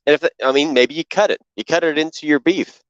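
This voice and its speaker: A man's voice: on the high side, fast talker, dry recording. A man co-hosting a comedy podcast, chatting casually and spinning absurd schemes with his friends. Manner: animated, spins absurd schemes. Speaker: podcast co-host. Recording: dull lo-fi podcast audio.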